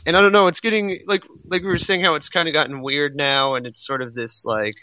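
A man's voice: on the high side, steady tone, brisk, bright, forceful tone, no room sound, dull audio. A man in a casual podcast discussion of manga, rambling a little as he thinks aloud. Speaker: podcast co-host.

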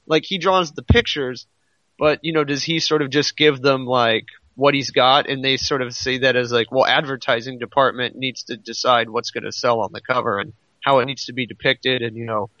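Male co-host, bright and sharp, musing casually, close dry but lo-fi sound. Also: medium pitch, steady tone, normal speed, dry recording. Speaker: podcast co-host. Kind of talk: casual chat.

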